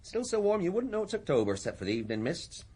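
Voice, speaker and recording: A man's voice: high-pitched, big pitch swings, quick pace, a little mellow, a little hiss or hum. An actor playing a chatty, deferential gun seller who chuckles through his small talk in a radio drama. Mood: affable, deferential.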